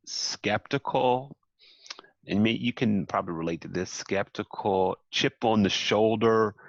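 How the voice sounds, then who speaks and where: A man's voice: lowish voice, dry recording, muffled recording. A man speaking earnestly as an interview guest, building to emphatic repeated points. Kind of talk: reflective interview talk.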